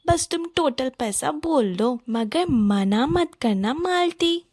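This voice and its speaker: A woman voicing a friendly, insistent neighbour in a children's cartoon, animated, effusive and full of coaxing encouragement. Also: high-pitched, slow pace, no echo, studio quality, exaggerated voice. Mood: cheerful, coaxing. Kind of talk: Hindi children's cartoon story acting.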